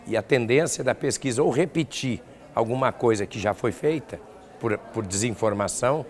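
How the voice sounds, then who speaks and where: An older man with a southern Brazilian accent, a professor answering interview questions in an explanatory way with examples. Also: mid-range voice. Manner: explanatory, illustrates points with examples. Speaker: academic researcher and professor being interviewed.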